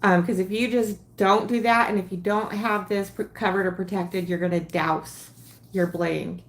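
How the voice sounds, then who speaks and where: A woman's voice: medium pitch, steady tone, moderate pace, reverberant. A woman in her late forties hosting a casual crafting livestream, talking in a rambling, chatty way with frequent ums and giggles. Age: 40s.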